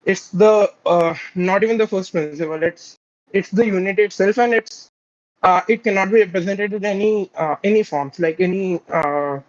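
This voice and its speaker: A man's voice: high voice, normal speed. A man calling in to a debate show, talking hesitantly with many fillers and restarts. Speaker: caller. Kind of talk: casual debate call. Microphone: video call. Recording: quiet, dull lo-fi call mic.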